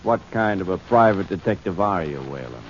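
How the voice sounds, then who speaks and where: A man's voice: low voice, lively intonation, fairly quick, a little hiss or hum. Impatient male political client, curt and stern, vintage 1940s radio, muffled. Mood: impatient, reproachful.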